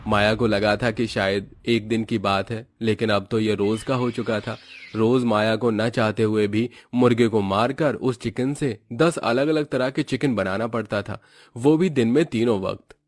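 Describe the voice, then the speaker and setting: A man's voice: on the low side, no room sound. Indian man narrating, very dry sound. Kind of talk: scripted cartoon story narration.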